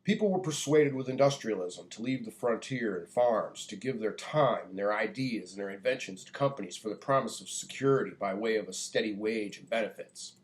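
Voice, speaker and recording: An American man delivering a prepared public address in a deliberate, earnest, preaching manner. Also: lowish voice, expressive. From United States. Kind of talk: earnest prepared monologue, oratory.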